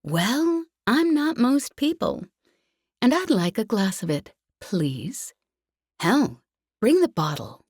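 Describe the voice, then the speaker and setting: A woman's voice: a little high, sing-song, slow, darkish tone, husky, dry recording, clean studio sound, voice pushed up, heavily acted. A woman voicing a brash, gleefully reckless young female smuggler pilot in a space opera audiobook, with a cheery, swaggering drawl. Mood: cocky.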